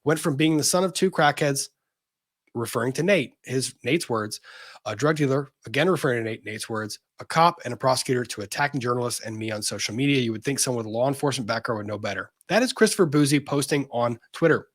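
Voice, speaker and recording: A man's voice: medium pitch, lively intonation, fast talker, no echo, clean studio sound. A man co-hosting a legal commentary show, reading a lawsuit aloud dramatically and breaking in with emphatic asides.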